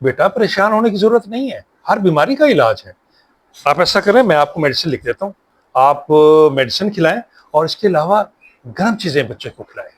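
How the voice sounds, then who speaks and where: A man's voice: on the high side, lively intonation, fairly quick. A man playing an earnest, reassuring, pious family doctor who mixes in English words, in a moral short drama. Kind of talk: Urdu moral short-film acting.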